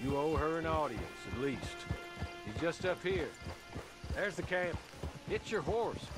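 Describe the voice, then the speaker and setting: An actor playing a polite, wry gunman in a western video game, speaking formally with calm command. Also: a little high, measured pace. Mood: earnest. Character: courteous, wry gunman, loyal agent of a mistress. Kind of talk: dramatic video game acting. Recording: music behind the voice.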